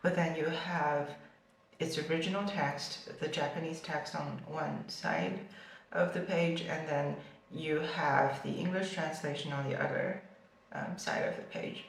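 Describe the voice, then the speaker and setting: A woman's voice: deep voice, fairly even intonation, unhurried, slight background noise. A young woman whose first language is Chinese, chatting casually about books in a vlog and filling her sentences with fillers and restarts. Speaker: booktuber. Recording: very echoey room, far from the mic.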